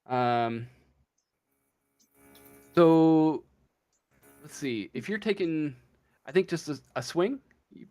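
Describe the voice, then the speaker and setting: A man running a casual tabletop game as game master, improvising the narration in a hesitant, halting way. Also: fairly high pitch, expressive, darkish tone, soft background music.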